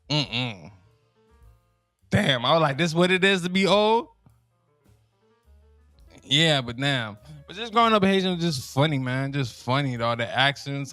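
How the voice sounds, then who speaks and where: A man's voice: mid-range voice, sharp tone, no room sound. A young man from Miami with a Miami accent, telling comic stories in a loose, slangy way, repeating himself and laughing at his own lines. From Miami, Florida. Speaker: comedian. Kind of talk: casual stand-up style storytelling.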